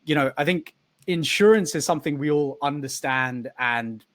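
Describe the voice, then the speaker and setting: A man's voice: on the high side, moderate pace, fairly bright tone, no room sound. A man hosting an online interview session, rambling with many fillers and restarts. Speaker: host. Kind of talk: video call interview hosting.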